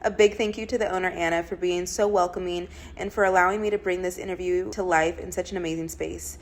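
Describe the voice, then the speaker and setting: A woman's voice: fairly low pitch, fairly even intonation, fast. A woman podcast host reading an upbeat scripted thank-you to close the episode. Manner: upbeat, read-out outro.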